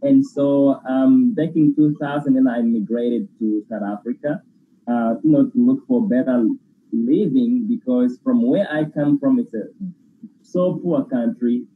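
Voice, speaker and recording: A man with an Angolan Portuguese accent, whose first language is Portuguese, talks as a grateful, effusive guest. His voice comes over a thin, phone-like line with a faint hiss behind it. He sits at a middle pitch for a man. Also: a little slow. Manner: effusive, grateful, laughs while talking. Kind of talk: casual interview chat.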